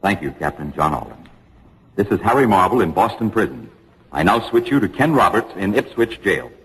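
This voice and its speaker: 1940s radio newsman, low raspy voice, sober and factual, big hollow reverb. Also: big pitch swings, moderate pace, a bit bright, exaggerated voice. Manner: crisp phrasing, factual, composed. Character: sober radio correspondent reporting from prison. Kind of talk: 1940s radio news reporting.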